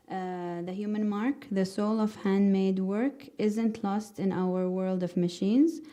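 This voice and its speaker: Woman moderator at a conference mic, mellow tone, stumbles through a scripted intro. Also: mid-range voice. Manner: halting, many fillers and restarts. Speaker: panel moderator. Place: conference hall. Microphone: handheld microphone.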